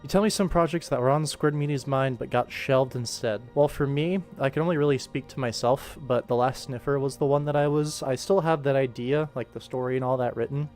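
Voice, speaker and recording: A man's voice: mid-range voice, brisk, hardly any pauses, darkish tone. A man chatting casually as he hosts a working animation livestream. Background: faint background music.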